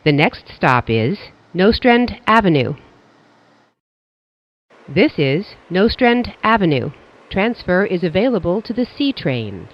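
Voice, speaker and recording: A train announcer reading scripted station announcements in a formal, measured, pieced-together way. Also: medium pitch, very animated, muffled recording.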